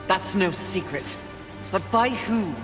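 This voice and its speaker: A woman's voice: mid-range voice, animated, takes it slowly, roomy sound, big-room sound, music in the background. An actress playing a proud, short-tempered female warrior in a video game, curt, imperious and combative. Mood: defiant. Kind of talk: dramatic video game acting.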